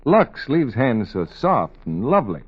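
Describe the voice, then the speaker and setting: A male radio announcer reads scripted copy in 1940s style with big swings of pitch. His mid-range voice is husky, on a dull vintage recording. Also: big pitch swings, measured pace.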